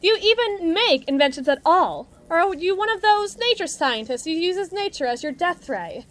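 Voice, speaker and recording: A mean, cocky female villain's henchwoman voiced by a woman for an audio roleplay. Her voice is high and swings widely from note to note. She talks tough and slangy, mocking the listener with theatrical sarcasm. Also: expressive, normal speed, a bit bright. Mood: needling, condescending. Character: brash, mean female villain henchwoman, muscle for evil agency. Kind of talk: acted monologue roleplay addressed to listener.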